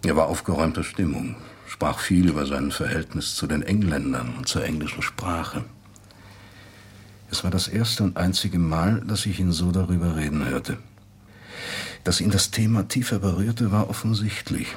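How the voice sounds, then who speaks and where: A thoughtful man in a reflective radio play, looking back on a friend. His voice is low and very husky, and he speaks in measured, articulate phrases. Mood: reminiscing. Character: thoughtful man recalling a late intellectual friend. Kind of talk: reflective radio drama monologue.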